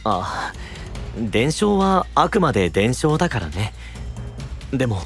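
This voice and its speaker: Gentle calm young guy knight, mid voice, earnest anime drama, faint music. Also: mid-range voice, takes it slowly. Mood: inquiring. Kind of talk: Japanese anime-style voice drama acting.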